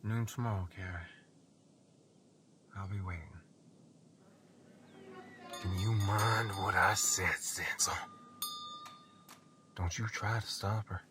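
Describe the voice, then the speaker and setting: A man's voice: on the low side, fairly even intonation, takes it slowly, pauses often, over music. A man playing an earnest, defiant cowboy suitor in an old western radio drama, with folksy, emphatic speech. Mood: firm, defiant.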